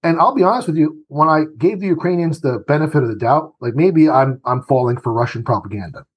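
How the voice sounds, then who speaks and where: A man's voice: fairly high pitch, quick pace, slightly rough. A man giving his opinions as an interview guest, emphatic and argumentative, often acting out quoted voices. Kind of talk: opinionated political commentary.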